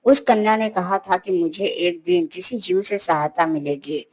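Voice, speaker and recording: A woman voicing a gentle, pious male hermit sage, solemn and devout, in a children's cartoon story. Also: fairly low pitch, measured pace. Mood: sorrowful.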